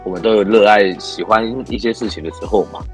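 A man's voice: on the low side, steady tone, quick pace, faint music behind. A man hosting a casual interview show, encouraging and playful, murmuring agreement often. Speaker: host.

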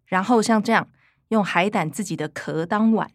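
A woman's voice: medium pitch, moderate pace, no room sound, clean studio sound, lightly acted. A woman playing an eager, earnest young granddaughter with a Taiwanese accent in a radio drama. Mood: excited, precise.

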